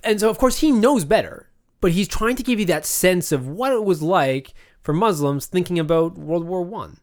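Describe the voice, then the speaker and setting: A man talking casually and colloquially about books in an animated way, like a guest on a podcast. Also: high-pitched, moderate pace. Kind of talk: casual book chat.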